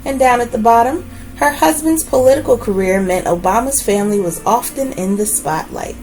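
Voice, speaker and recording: A woman reading a children's book aloud as host, with expressive, deliberate phrasing and brief asides. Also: on the high side, faint music behind, poor audio.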